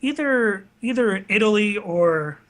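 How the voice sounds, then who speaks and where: A man's voice: high-pitched, lively intonation, unhurried. A man chatting casually as an interview guest, recorded in a bedroom. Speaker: interview guest, online video creator. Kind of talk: casual chat.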